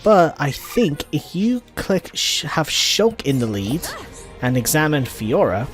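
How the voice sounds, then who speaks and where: Higher-voiced man doing casual game commentary. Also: big pitch swings, takes it slowly. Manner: informal, thinking aloud. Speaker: let's play host. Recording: game music behind the voice. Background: video game music.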